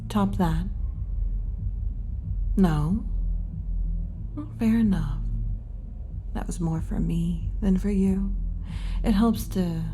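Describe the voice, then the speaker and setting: A woman voicing a brash yet kindly tomboy wolf girl bartender in an intimate, unhurried ASMR roleplay, often dropping toward a whisper. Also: lowish voice, mellow, slight background noise. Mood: teasing, casual. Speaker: bartender character in audio roleplay.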